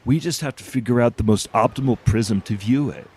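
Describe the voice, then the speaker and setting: A man's voice: mid-range voice, fairly quick, darkish tone, husky. A man playing a laid-back stoner food truck owner who rambles grandly and philosophically in an audio drama. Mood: mock-profound. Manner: rambling, grandiose, mock-profound. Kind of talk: audio drama character acting.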